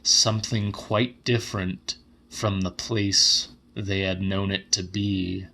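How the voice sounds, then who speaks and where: A man narrating literary horror as a dramatic audiobook, measured, deliberate and grave. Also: low-pitched, level tone, vocal fry, bright, forceful tone.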